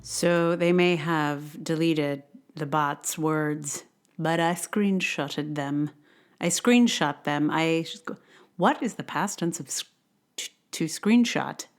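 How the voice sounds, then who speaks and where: A woman with a slightly low voice reads her own essay as a podcast host. Her delivery is conversational and wry. She leans on key words with emphasis and pokes fun at herself. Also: slow pace. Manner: wry, emphatic, self-deprecating. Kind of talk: reading a personal essay aloud, conversational.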